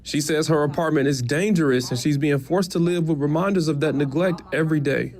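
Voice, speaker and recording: Higher-pitched American man reporting TV news. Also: fairly quick, a little mellow. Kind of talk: scripted news report narration.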